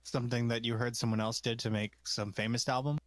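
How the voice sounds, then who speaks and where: A man's voice: mid-range voice, steady tone, quick pace, no room sound. A man hosting a podcast, asking curious follow-up questions in casual conversation. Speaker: podcast host.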